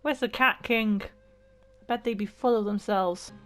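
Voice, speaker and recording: A woman's voice: medium pitch, measured pace. A woman giving casual, chatty, reactive let's play commentary with plenty of swearing. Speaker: gaming video creator. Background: game music.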